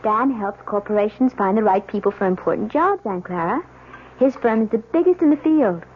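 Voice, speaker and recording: Eager young bride for classic radio drama, female actor, gushing delivery, thin old broadcast with steady noise. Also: mid-range voice, brisk, phone-quality sound, natural voice. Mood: eager, proud. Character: giddy, eager young newlywed wife.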